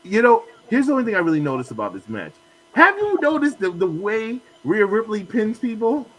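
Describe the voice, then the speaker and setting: A man's voice: sits high, huge pitch swings, brisk, a bit bright, low-quality recording. A man hosting a casual wrestling podcast, recapping matches in a rambling way full of fillers. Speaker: podcast host. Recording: faint hum and noise.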